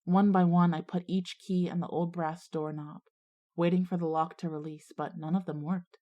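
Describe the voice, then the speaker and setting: Low-voiced schoolgirl leader played by a woman. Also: level tone, normal speed, muffled recording, natural voice. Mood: suspenseful narration. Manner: decisive, organizing. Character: resourceful, take-charge schoolgirl narrator and group leader. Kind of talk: audio drama dialogue and first-person narration.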